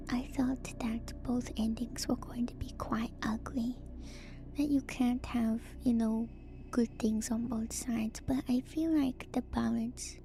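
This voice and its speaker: Slow, whispering ASMR woman. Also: on the high side. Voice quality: soft, breathy whisper. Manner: slow, gentle, repeats words and phrases. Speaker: VTuber streamer. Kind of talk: whispered ASMR reading and commentary.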